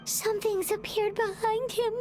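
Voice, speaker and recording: A woman voicing a tiny, excitable childlike fairy companion in a video game, squeaky and exclamatory. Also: moderate pace, music in the background. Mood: excited, alarmed. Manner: exclamatory, refers to self in third person.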